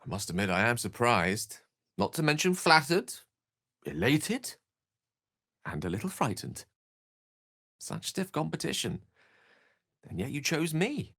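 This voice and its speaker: A man's voice: mid-range voice, sing-song, a little slow, long pauses, bright, forceful tone, no echo, studio quality, heavily acted. A male actor playing an erudite, wry wizard in a fantasy video game, articulate and theatrical, with a British accent and a streak of melancholy. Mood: tender, hesitant.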